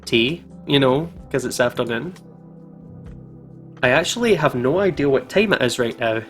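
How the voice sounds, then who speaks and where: Chatty male gaming host, medium pitch. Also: expressive, moderate pace, fairly bright tone. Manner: chatty, informal. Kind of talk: casual let's play commentary and reading aloud. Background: game music.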